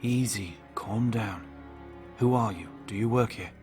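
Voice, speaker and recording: A tense male squad leader in a horror audiobook, voiced by a man narrating. His low voice is firm and frustrated, and music plays faintly behind him. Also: measured pace, a little mellow, natural voice. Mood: firm, urgent.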